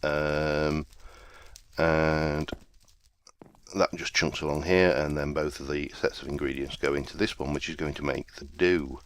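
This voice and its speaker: Very deep-voiced man with a cold. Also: flat delivery, a little slow, slight background noise. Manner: rambling, self-deprecating, easygoing. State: chesty with a summer cold. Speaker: let's play host. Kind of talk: casual gameplay commentary.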